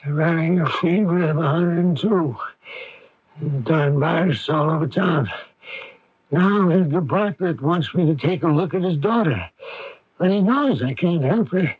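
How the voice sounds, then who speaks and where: An actor playing a weary, kindly older family doctor who grumbles in an avuncular way, in a radio drama. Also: male voice, a little high, measured pace, husky, no room sound. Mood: weary, grumbling. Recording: dull lo-fi vintage radio broadcast.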